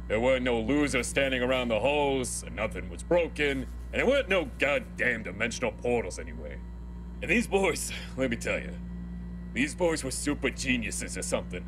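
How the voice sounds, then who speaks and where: Guy doing gruff old townsman reminiscing in a video game, folksy slangy storytelling, music playing behind him. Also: mid-range voice, lightly acted, rough recording. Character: gruff older townsman recalling the good old days. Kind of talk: narrated video game backstory.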